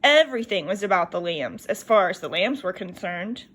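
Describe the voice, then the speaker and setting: A woman reading a children's picture book aloud in an expressive, lively storytelling manner. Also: mid-range voice, normal speed, slightly rough.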